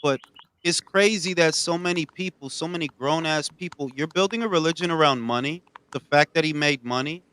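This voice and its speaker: A man hosting a boxing talk show, ranting with heavy profanity and swinging from low mutters to loud outbursts. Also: a little fast, clean tone. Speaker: podcast host.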